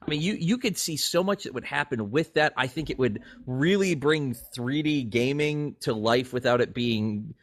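A man co-hosting a podcast, talking casually about video games at a medium pitch. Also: normal speed, few pauses. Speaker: podcast co-host. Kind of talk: casual video game discussion.

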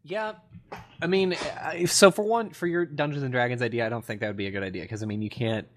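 A man's voice: mid-range voice, fast talker. A man co-hosting a podcast, talking through technology in a casual, analytical way. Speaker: podcast co-host.

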